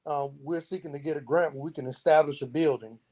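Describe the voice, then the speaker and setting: Higher-pitched man explaining earnestly. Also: fairly even intonation, fast, a little mellow. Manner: poses rhetorical questions and answers them. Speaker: founder of a youth outreach organization, podcast guest. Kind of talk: earnest explanatory interview talk.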